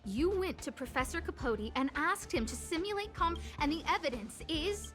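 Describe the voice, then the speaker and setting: A woman playing an earnest, apologetic young noblewoman in a video game, anxious and dramatic, pleading in halting, emphatic phrases. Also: high voice, expressive, a bit bright, soft background music. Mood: earnest, insistent.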